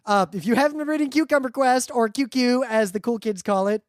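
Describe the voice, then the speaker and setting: A man's voice: very high-pitched, brisk, dry recording, clean studio sound. A man talking casually as a podcast co-host. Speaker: podcast co-host reviewing a webcomic. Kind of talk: casual chat.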